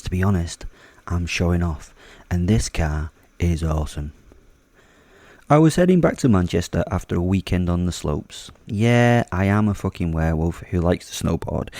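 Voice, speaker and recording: A man's voice: low-pitched, mellow, dry recording, clean studio sound. A man hosting his podcast and telling a first-person horror story in an offhand, chatty way, swearing casually and joking darkly. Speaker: podcast host and narrator.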